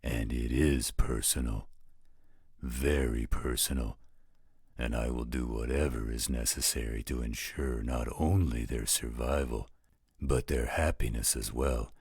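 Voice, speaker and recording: A man plays a protective werewolf guardian with an extremely low, rasping voice. He is blunt and coarse-mouthed, speaking slowly in a close, dry roleplay audio drama. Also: no echo, heavily acted. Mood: protective, stern.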